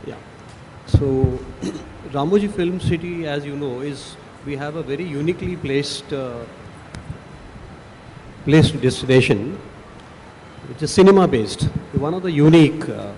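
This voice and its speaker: A man's voice: on the high side, measured pace, long pauses, darkish tone. Hesitant Indian man in echoey hall. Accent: Indian English. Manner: hesitant, many fillers and restarts. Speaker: panelist, film city executive. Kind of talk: unscripted panel remarks. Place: large conference hall. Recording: echoey hall, faint noise.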